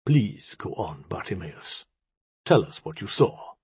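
A man's voice: lowish voice, expressive, measured pace, husky, no room sound, exaggerated voice. A man voicing an elegant female rat-spirit in a storybook, sweetly persuasive and practical. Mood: sweetly coaxing.

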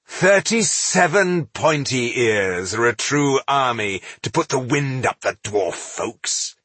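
A man's voice: a little high, lively intonation, unhurried, fairly bright tone, husky, dry recording, voice pushed up, exaggerated voice. A man voicing a proud, callous male dwarf warlord who styles himself king, speaking dismissively in a fantasy audiobook. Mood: bored contempt. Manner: haughty, dismissive.

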